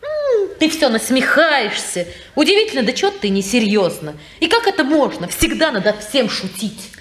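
Dreamy young niece: high, lively, playful, vintage radio-play echo. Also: female voice, normal speed. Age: young adult. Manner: playful, hums to herself. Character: dreamy young niece who reads romance novels. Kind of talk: 1950s radio drama acting. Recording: echoey old radio studio recording.

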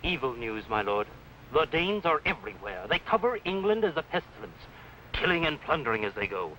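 Ominous, archaic medieval messenger, forceful, slightly distant from mic, 1950s TV drama. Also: male voice, fairly high pitch, sing-song, brisk. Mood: foreboding. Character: loyal, anxious medieval Saxon messenger. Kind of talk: 1950s TV historical drama acting. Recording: old film soundtrack, off mic, faint noise.

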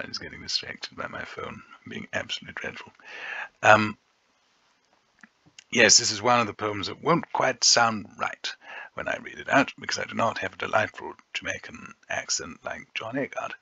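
A man teaching a recorded revision lesson, chatty and self-deprecating, often pausing to think. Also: fairly low pitch, gravelly, pushed and bright, dry recording, lo-fi sound. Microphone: voice-over over slides.

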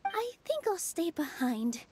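A woman voicing a gentle, earnest young maiden in a video game. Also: extremely high pitch, huge pitch swings, takes it slowly, a little hiss or hum, full character voice.

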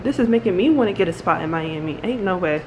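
Young woman, American accent, excited slangy vlog chat, handheld camera, some background noise. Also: on the low side, quick pace, poor audio. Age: 20s. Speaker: vlogger. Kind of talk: casual vlog chat, house tour. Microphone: camera held at arm's length.

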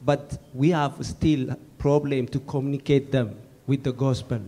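A man's voice: medium pitch, steady tone, moderate pace, mellow. A man with an East African accent, a pastor putting a question from the audience at a lecture. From Ethiopia or Eritrea. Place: indoor hall. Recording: clear background noise, room ambience.

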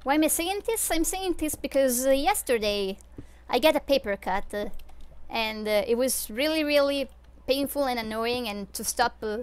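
A young Swiss woman livestreams gameplay from home, chatting in a loose, rambling way with frequent fillers and small laughs. Her voice sits a touch high and comes through smooth and clear on a desk microphone. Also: animated, moderate pace. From Switzerland. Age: young adult. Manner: rambling, many fillers, giggly. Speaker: streamer. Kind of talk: casual live gameplay chat. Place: home room.

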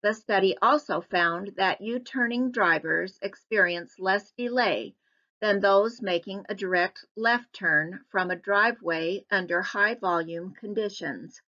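A woman reads a formal public hearing presentation as a scripted voice-over. Her tone is bright and her delivery is even and measured. The audio is slightly dull and lo-fi. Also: mid-range voice. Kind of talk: scripted narration.

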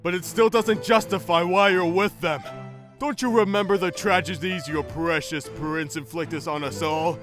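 A man's voice: on the high side, moderate pace, fairly bright tone, faint music behind, lightly acted. A man playing an indignant young villager, reproachful and incredulous, in a comedic dub. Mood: accusing. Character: indignant, accusing young villager with old grievances. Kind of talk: comedic parody dub acting.

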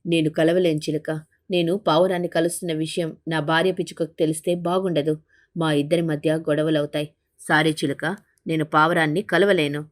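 Woman as a low, reluctant cartoon crow husband. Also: level tone, brisk, lightly acted. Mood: worried. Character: reluctant, worried married male crow. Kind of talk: animated bird fable dialogue.

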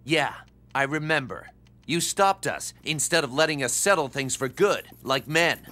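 An actor playing a hot-headed, foul-mouthed young assassin in a video game, with an irritable, sarcastic delivery. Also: male voice, fairly high pitch, animated, moderate pace, sharp tone. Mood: angry. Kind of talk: video game cutscene acting.

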